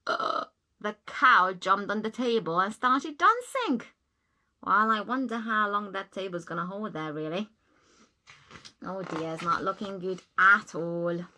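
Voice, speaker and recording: A woman's voice: mid-range voice, sharp tone. A woman reading a children's storybook aloud as a teacher, expressively, with simple explaining asides for young listeners.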